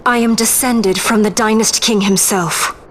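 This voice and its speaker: An actress playing a proud, determined young princess in a fantasy game, speaking formally and earnestly. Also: female voice, mid-range voice, steady tone, a little slow, a bit bright, a little hiss or hum, close to an everyday voice. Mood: resolute. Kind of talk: dramatic video game voice acting.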